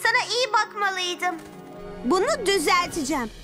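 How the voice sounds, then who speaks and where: A warm-hearted cartoon child with an extremely high voice. The delivery is earnest and emotional, and loud music plays under it. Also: female voice, lively intonation, normal speed. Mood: remorseful. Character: remorseful, warm-hearted young child hero. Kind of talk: dubbed children's cartoon acting.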